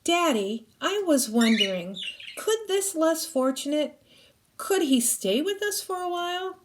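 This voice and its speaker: A woman's voice: high voice, animated, unhurried, a little hiss or hum, voice pushed up. A woman voicing an earnest young girl in a children's storybook, coaxing politely as she pleads. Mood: pleading. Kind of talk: children's storybook read-aloud.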